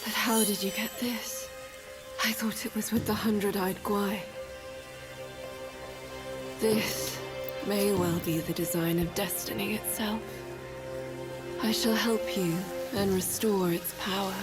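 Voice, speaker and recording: A woman voicing a solemn wise woman in a game cutscene, speaking in a measured, formal way. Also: medium pitch, fairly even intonation, over music, close to an everyday voice. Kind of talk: video game cutscene voice acting.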